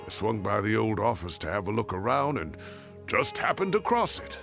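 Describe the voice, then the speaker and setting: A man voicing an ageing, wry and sentimental professor with a drawn-out, self-deprecating manner in a video game. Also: lowish voice, big pitch swings, vocal fry, over music. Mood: delighted, nostalgic. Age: older. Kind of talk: animated video game voice acting.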